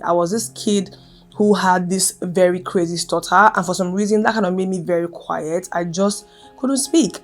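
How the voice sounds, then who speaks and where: A young adult woman vlogging casually to camera, earnest and emphatic, who speaks with a stutter and repeats her key phrases. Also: on the low side.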